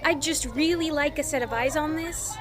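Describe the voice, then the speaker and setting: Eager, high-voiced young actress played by a woman. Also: normal speed, natural voice. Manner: keen, gently insistent. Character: eager, questioning young actress rehearsing a scene. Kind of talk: naturalistic audio drama acting.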